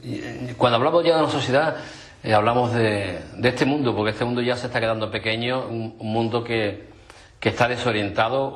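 A man with a moderately low voice hosts a religious conversation. He speaks in a hesitant, affirming way, breaking up his thoughts with fillers and short tag questions. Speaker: interviewer, host.

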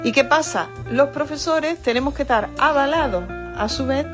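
A woman's voice: high voice, moderate pace, over music. A woman, a religion teacher, explaining things earnestly and steadily on a panel talk show.

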